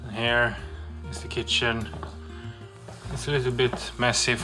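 A young man chats casually to his handheld camera as a vlogger. His speech is slow, halting and reflective, in a medium-pitched voice, with faint music in the background. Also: level tone. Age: young adult. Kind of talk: casual vlog narration.

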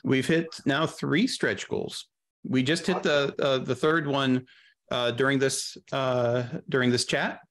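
A middle-aged man chatting casually as an interview guest on a video call, thinking aloud in a halting way. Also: medium pitch, steady tone, a bit bright. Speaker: guest, audio drama creator. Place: small room on a video call.

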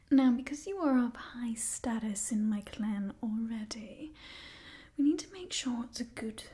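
Woman playing a slow, whispering aristocratic vampire lady. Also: fairly high pitch. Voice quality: soft, breathy whisper. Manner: slow, deliberate, condescendingly affectionate. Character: aristocratic female vampire clan leader, coolly doting, imperious. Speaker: ASMR performer. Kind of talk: ASMR roleplay, mostly whispered. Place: small indoor set with wooden wall. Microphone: close ASMR microphone.

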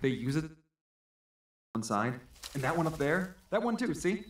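An actor playing an eager man who points out signs in the forest in an animated, explanatory way, for an audio drama. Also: fairly high pitch, moderate pace. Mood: excited, pointing out. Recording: roomy playback with noise and clicks.

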